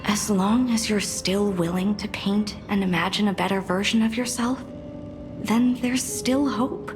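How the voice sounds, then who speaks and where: A woman's voice: mid-range voice, fairly even intonation, measured pace, lightly acted. A woman voicing a caring, slightly exasperated female nurse in a video game. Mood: gentle, encouraging. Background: music.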